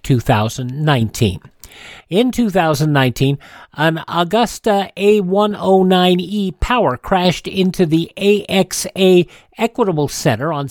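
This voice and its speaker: A man's voice: on the high side, no echo, studio quality. A man reading a scripted almanac narration as a radio host.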